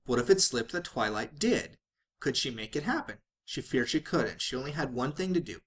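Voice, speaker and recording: A man's voice: medium pitch, very animated, normal speed, fairly bright tone. A man reading fan fiction aloud as a dramatic narrator, sometimes stumbling and rereading phrases.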